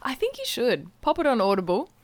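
A woman's voice: on the high side, wildly swooping pitch, a little fast, no echo. A woman co-hosting a podcast in casual chat, agreeable and given to giggling as she talks. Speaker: podcast co-host.

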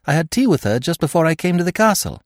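A spirited young woman in an audiobook, firm and direct, her voice lifted well above a man's range with lively swings. A man puts her on as he reads. The sound is dry and close. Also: fairly high pitch, quick pace, dry recording, voice pushed up. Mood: earnest. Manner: earnest, pressing questions.

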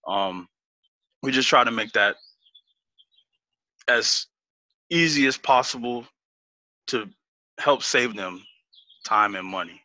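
A man's voice: mid-range voice, slow pace, long pauses, sharp tone, no echo. A man as an interview guest, laughing easily and explaining things step by step with many restarts. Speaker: business guest. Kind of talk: casual interview talk.

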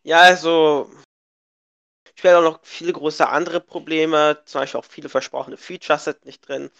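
A man's voice: on the high side, steady tone, moderate pace, creaky, fairly bright tone, lo-fi sound. A young man co-hosting a casual gaming podcast, a bit sick and congested, rambling with many restarts and fillers. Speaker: podcast co-host. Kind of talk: casual chat.

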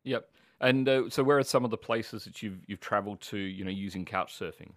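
A man's voice: on the low side, brisk, a bit bright, dry recording, studio quality. A man hosting a podcast, interviewing in a conversational way. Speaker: podcast host.

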